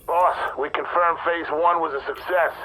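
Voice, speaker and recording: A man's voice: a little high, steady tone, normal speed, sharp tone, faint music behind, low-quality recording. An actor playing a businesslike henchman in a video game, reporting in clipped, procedural phrases through a radio filter. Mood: terse.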